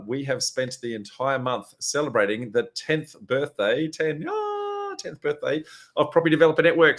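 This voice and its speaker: A man with a slightly raspy, hoarse voice, hosting a live question-and-answer session in a chatty way with lots of filler words. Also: medium pitch, a bit bright. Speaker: host.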